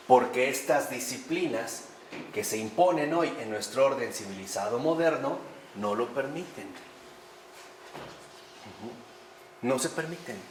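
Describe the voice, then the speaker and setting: A man teaching a lively lecture on faith, often posing rhetorical questions to his listeners. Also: mid-range voice, slight background noise. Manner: animated, rhetorical questions to the audience. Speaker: priest teaching a class. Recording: echoey room with faint ambience.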